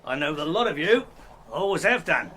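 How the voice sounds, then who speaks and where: An actor playing a boastful, jovial young man home with money from the colonies, talking in a loud, hearty, showy way. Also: a little high, sing-song, moderate pace, slight background noise. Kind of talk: radio drama acting.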